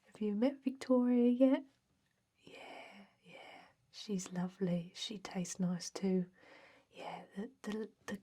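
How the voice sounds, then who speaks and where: A woman voicing an easygoing female energy vampire who interviews for an office job, in a hushed, casual, hesitant ASMR roleplay whisper. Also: mid-range voice, slightly rough.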